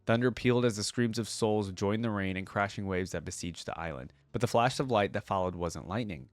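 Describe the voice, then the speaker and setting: A man's voice: on the low side, brisk, no echo. A man reading a story aloud and chatting casually as a reaction video host.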